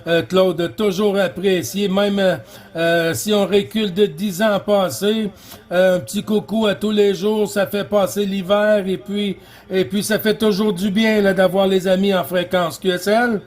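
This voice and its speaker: A man's voice: sits high, flat delivery, measured pace, darkish tone. A man with a Quebec French accent chatting casually and amiably as a CB radio operator, often repeating his phrases. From Quebec, Canada. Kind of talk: casual radio contact chat. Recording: noisy CB radio session, clear background hiss.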